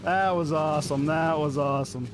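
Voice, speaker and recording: Effusive male reaction host, higher-pitched. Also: fairly even intonation, normal speed, noisy background. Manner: rambling, effusive. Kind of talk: casual enthusiastic commentary.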